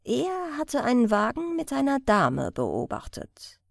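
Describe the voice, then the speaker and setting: A woman reading a crime story as an audiobook narrator in a measured, careful storytelling manner. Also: fairly high pitch, wildly swooping pitch, creaky, no room sound, studio quality.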